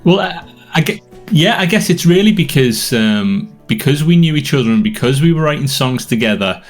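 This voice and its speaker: A man with a Liverpool accent, a songwriter and podcaster answering interview questions thoughtfully, with frequent pauses and ums. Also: on the high side, animated, soft background music. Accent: Liverpool English. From United Kingdom. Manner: thoughtful, hesitant with many ums.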